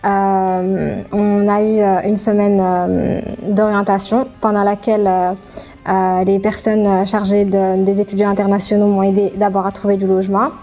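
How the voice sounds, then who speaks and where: Young female student, vocal fry, flat intonation, hesitant interview delivery. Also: mid-range voice. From Morocco. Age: young adult. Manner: halting, frequent hesitations. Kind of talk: interview testimonial. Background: music.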